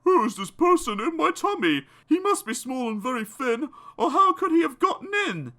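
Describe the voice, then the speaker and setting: Anxious young child, acted by a grown man: pleading, earnest, high and lively. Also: normal speed, heavily acted.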